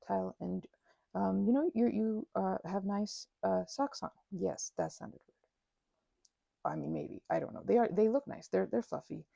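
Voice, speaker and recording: Woman acting a timid, stammering vampire, husky and creaky. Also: mid-range voice, mellow, no echo, heavily acted. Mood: shy, hesitant. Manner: stammering, halting, self-effacing, often dropping to a whisper. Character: timid, grateful, nervous female vampire. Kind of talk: intimate ASMR audio roleplay.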